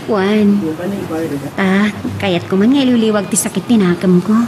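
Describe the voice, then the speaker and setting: A woman's voice: medium pitch, normal speed, background noise, close to an everyday voice. A woman playing a suffering, plaintive wife and mother in an Ilocano audio drama. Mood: hurt, weeping. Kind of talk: Ilocano radio drama acting.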